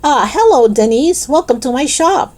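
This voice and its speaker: A woman's voice: sits high, animated, moderate pace, a little mellow, pitched above the performer's own voice. Lady doing a cheery shopkeeper guy from a kids story, super welcoming, boastful, very up and down. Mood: jovial, welcoming. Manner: effusive, salesmanlike. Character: jovial, boastful male shopkeeper, warmly welcoming.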